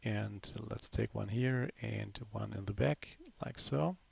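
A man narrating a screen-recorded software demo in a relaxed, unscripted way, full of fillers and restarts. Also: on the low side, slow pace, vocal fry, husky. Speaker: software developer giving a demo.

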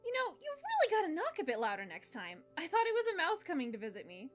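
An excitable young pony herbalist from an animated drama, played by a woman. Her high voice swings widely in pitch as she teases like a loyal best friend. There is music behind her. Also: fast talker, heavily acted. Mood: perky, teasing. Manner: animated, teasing. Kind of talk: animated fan drama acting. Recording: music under the voice.

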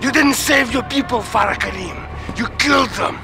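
A man's voice: extremely high pitch, normal speed, gravelly, music in the background, a crowd in the background. An actor playing a cruel, menacing middle-aged Russian general in a video game, taunting as he interrogates, with a Russian accent. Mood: angry. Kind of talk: cinematic video game acting.

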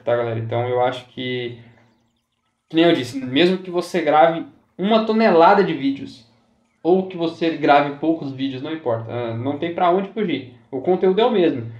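A chatty man with a slightly high voice talks casually through his gameplay tips. He repeats himself and keeps checking in with his viewers. His voice carries a noticeable roomy echo. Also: expressive, moderate pace, a little mellow, muffled recording. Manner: chatty, repeats himself, says "galera" and "tá?" often. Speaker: gaming YouTuber.

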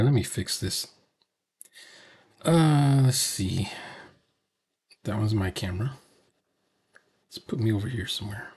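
Husky, slow-talking older man reacting casually. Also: lowish voice, warm tone. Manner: muttering asides, talking to himself. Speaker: reaction video host. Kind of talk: casual off-the-cuff reaction commentary. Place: cluttered home room, indoors.

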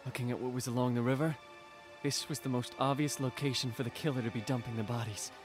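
An actor playing a protective, tender boyfriend in an audio drama, soothing and urgent. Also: male voice, mid-range voice, steady tone, fast, over music, natural voice. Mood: urgent tenderness.

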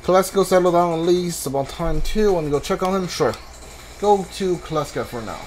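A man giving casual video game commentary, thinking aloud haltingly and repeating his words as he plays. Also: sits high, animated, a little mellow, slight background noise. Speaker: gamer, playthrough host. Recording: game music behind the voice. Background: video game music.

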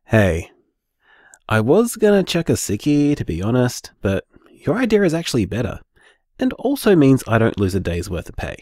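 An actor playing a loving, frank and wry young Australian boyfriend in an audio drama told through voicemails. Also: male voice, medium pitch, wildly swooping pitch, normal speed, a little mellow, dry recording, clean studio sound. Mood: relieved.

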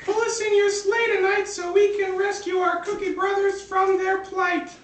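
A man's voice: very high-pitched, flat delivery, normal speed, recorded from a distance, pitched above the performer's own voice. A man voicing an indignant little gingerbread cookie in a puppet show, scolding in rhyme. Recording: noisy, echoey home camcorder from afar.

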